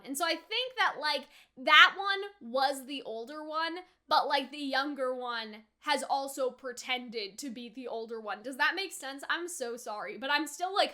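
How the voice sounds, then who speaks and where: Bright, high-pitched young-sounding woman. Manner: animated, chatty, acts out quoted lines. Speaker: host of anime reaction and review videos. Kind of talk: casual, rambling reaction commentary.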